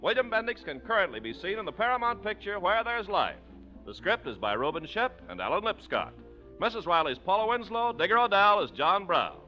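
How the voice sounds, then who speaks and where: Dull old broadcast, faint music, male announcer, emphatic, animated high delivery. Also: fairly quick. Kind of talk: 1940s radio announcing and sponsor reads. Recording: dull 1940s radio recording.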